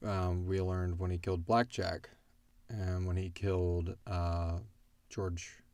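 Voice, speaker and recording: Male podcast host, lower register, close dry sound, informal and animated storytelling. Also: slow, no echo. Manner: animated retelling, acting out characters' lines. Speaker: podcast host and audio drama creator. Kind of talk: casual chat answering listener questions.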